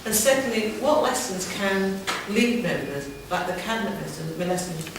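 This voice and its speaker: Heard across a highly reverberant room with clear background noise, a woman speaks as a councillor. She asks formal questions without a script, in long, rambling phrasing. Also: moderate pace. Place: large town hall meeting room. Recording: very echoey hall, distant mic, clear background noise.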